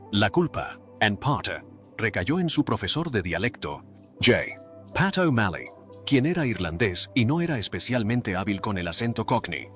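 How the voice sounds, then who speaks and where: A man reading a scripted documentary narration in an even, measured way. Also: on the low side. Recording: dull lo-fi voice-over with faint music. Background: faint background music.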